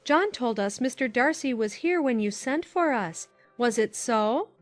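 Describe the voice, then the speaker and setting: A woman's voice: on the high side, lively intonation, measured pace, faint music behind. A woman playing a concerned, genteel middle-aged aunt in a dramatized period novel, emphatic and exclamatory. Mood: urgent, curious.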